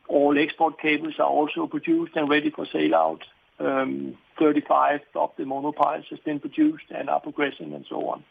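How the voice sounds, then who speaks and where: A man answering questions as a company executive on an earnings call, halting and often repeating his words. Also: fairly high pitch, gravelly, husky. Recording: tinny phone-like call line.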